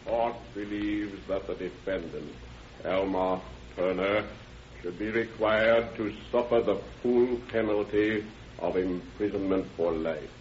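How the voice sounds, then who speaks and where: An actor playing a stern American judge in a 1930s radio drama, grave, formal and deliberate. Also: male voice, low-pitched, fairly even intonation. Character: stern male judge pronouncing a harsh sentence. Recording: muffled 1930s radio recording.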